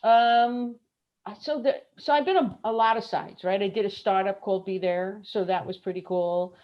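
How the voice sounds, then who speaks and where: Middle-aged woman, Brooklyn accent, mid pitch, lively guest on a slightly lo-fi call. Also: normal speed. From Brooklyn, New York. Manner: lively, chatty, laughs often. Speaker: guest, travel industry consultant. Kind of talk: casual interview chat.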